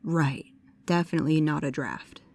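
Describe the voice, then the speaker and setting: A woman's voice: deep voice, fairly even intonation, moderate pace, gravelly, a little mellow. A woman playing a bookish, precise and nervous young paranormal investigator, speaking to the listener in an audio roleplay. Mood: pedantic, curious. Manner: pedantic, hesitant, earnest.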